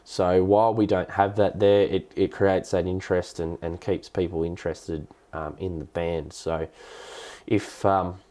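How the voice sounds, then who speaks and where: Deep-voiced Australian man with a cold. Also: level tone, dark tone. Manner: halting, hesitant delivery with repeated words. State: has a cold. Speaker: live stream host, amateur radio hobbyist. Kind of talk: casual explanatory live stream, answering viewer questions.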